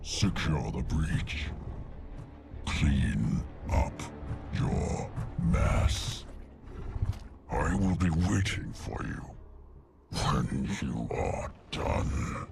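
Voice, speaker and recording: An actor playing a commanding, menacing alien warlord in a video game, with a growling voice and slow, imperious delivery. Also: male voice, animated, music in the background, heavily acted. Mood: stern, menacing. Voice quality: very deep, gravelly growl. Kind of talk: cinematic game acting.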